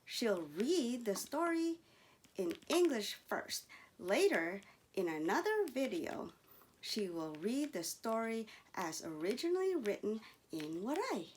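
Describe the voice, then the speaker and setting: A woman reading a children's story aloud in an expressive storytelling style. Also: on the high side, takes it slowly, breathy edge, low-quality recording.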